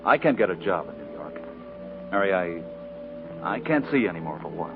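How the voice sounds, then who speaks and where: Proud young out-of-work organizer, blunt American talk, 1940s radio drama, thin tinny broadcast over music. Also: male voice, sits low, very animated, quick pace. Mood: defeated. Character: proud, discouraged young jobless labor organizer.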